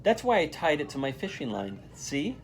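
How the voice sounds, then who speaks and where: A man's voice: on the high side, very animated, moderate pace, creaky, slight background noise. A man voicing an eager, earnest schoolgirl in a children's storybook, with an urgent, determined manner. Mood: explaining.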